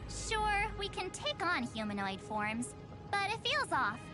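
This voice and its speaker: High, animated, theatrical young heroine. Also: female voice, a little slow, exaggerated voice. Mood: cheerful. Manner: theatrical, expressive. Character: playful young woman posing as a mysterious goddess. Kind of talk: anime-style game voice acting. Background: music.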